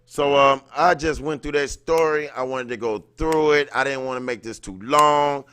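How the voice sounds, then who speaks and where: A man's voice: mid-range voice, normal speed, sharp tone, smooth, dry recording. Informal man livestreaming, close dry sound. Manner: informal, slangy, greets viewers by name mid-thought. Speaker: livestream host. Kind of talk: casual livestream chat with read-aloud passages.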